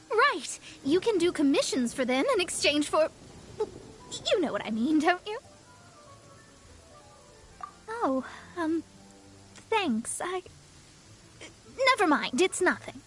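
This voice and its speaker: A woman's voice: very high-pitched, big pitch swings, slow, long pauses, fairly bright tone, faint music behind, heavily acted. A woman playing a proud, dramatic young astrologer in a video game, haughty and easily flustered. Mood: hesitant, embarrassed. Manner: haughty, theatrical, flustered stammering. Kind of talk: video game character acting.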